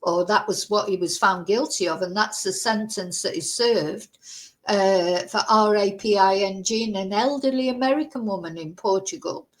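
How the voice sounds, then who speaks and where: A woman's voice: medium pitch, moderate pace, poor audio. A woman hosting a casual online commentary channel, reading news articles aloud and adding her own opinions with rhetorical tag questions. She sometimes spells out sensitive words letter by letter. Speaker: true-crime commentary host.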